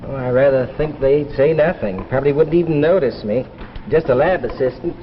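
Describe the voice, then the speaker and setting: Actor as mild dreamy inventor, muffled old recording. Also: male voice, medium pitch, brisk, lightly acted. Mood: mild, evasive. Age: young adult. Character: timid, dreamy young amateur inventor, mild and stubborn. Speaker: actor in a science fiction drama. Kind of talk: 1950s science fiction anthology acting. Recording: muffled, noisy 1950s broadcast with reverb.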